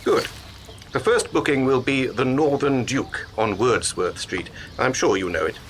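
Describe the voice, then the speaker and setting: An actor in a radio play playing a secretive, cultured male promoter who speaks in a measured, erudite, persuasive way. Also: medium pitch, expressive, a bit bright, a little hiss or hum. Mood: businesslike, coaxing.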